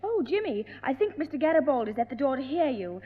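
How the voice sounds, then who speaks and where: A woman's voice: high-pitched, very animated, fast. An actress playing a polite, teasing young woman in the family. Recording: echoey, tinny old radio studio recording.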